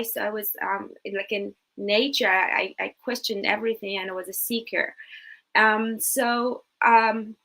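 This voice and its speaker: A woman's voice: fairly high pitch, bright, forceful tone, poor audio. A woman from Iran telling her personal story on a webinar panel, earnest and hesitant with frequent fillers.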